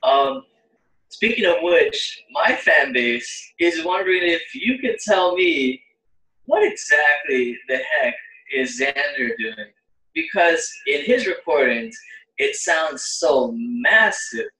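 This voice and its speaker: A man's voice: fairly high pitch, very animated, takes it slowly, sharp tone, far from the mic. A young man chatting casually as a host, recorded in a roomy space away from the mic. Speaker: host and choir bass singer. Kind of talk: casual chat.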